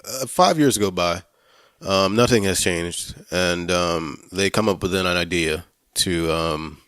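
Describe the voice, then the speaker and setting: A man hosting a casual film podcast, talking in a halting way, searching for words and swearing freely. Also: low voice, a bit bright, clean tone, dry recording, studio quality. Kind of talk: casual movie review chat.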